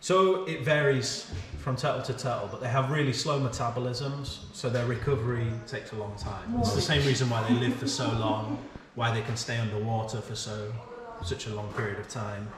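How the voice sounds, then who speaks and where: A man's voice: medium pitch, fairly even intonation, moderate pace, few pauses. A man with an English accent, a worker at a sea turtle rescue centre, patiently explaining to a visitor with the odd "um". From England. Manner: patient, informative, with filler "um"s. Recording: very echoey, loud crowd behind.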